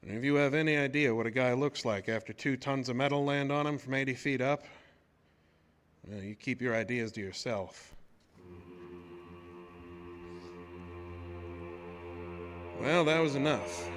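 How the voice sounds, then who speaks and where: A man's voice: mid-range voice, normal speed, soft background music, natural voice. Man acting a haunted, folksy oil rig worker. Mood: grim, matter-of-fact. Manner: colloquial, folksy, haunted storyteller. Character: rough-spoken oil rig worker telling his own eerie tale. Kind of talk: old-time radio horror monologue.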